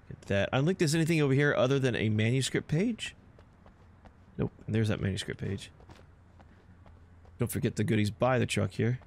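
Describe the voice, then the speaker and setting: A man giving casual, chatty, informal commentary while playing a video game. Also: mid-range voice. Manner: laid-back, chatty, informal. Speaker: gameplay video host. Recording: game sounds under the voice. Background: video game ambience and effects.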